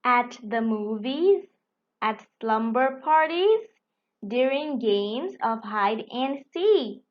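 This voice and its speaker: Lady reading a kids story, high voice, takes it really slow, bit muffled sounding. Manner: deliberate, expressive delivery. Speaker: storyteller reading a picture book aloud. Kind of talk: animated children's read-aloud narration.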